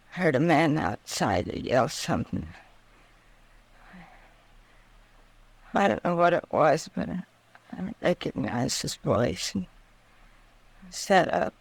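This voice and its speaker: Slow, fading frontier wife. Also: female voice, sits low, vocal fry, breathy edge, full character voice. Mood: weak, halting. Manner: halting, faint. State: weak, fading. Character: dying, resigned young frontier wife, shot. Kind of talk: old-time radio western acting. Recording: old radio drama.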